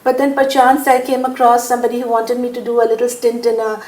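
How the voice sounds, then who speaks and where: A woman's voice: a little high, level tone, fast talker, darkish tone, reverberant. An older woman from India talking at an easy pace in an interview, laughing often and using lots of fillers. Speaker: interviewee, businesswoman. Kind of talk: relaxed conversational interview.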